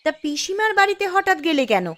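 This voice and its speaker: A woman voicing a sharp-tongued but caring village wife in cartoon dialogue, with a brisk, expressive manner. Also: very high voice, faint music behind, full character voice. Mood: scolding.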